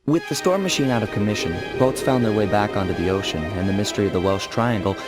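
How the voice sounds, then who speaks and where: A man's voice: lowish voice, quick pace, close to an everyday voice. An actor playing a cocky, laid-back young male thief in a video game, trading quips and narrating. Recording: game audio with loud music behind.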